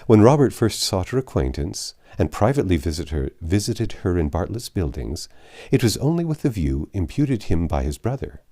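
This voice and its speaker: A man reading a classic novel aloud in a measured, expressive way, with the odd slip and self-correction. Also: low-pitched. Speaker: audiobook narrator.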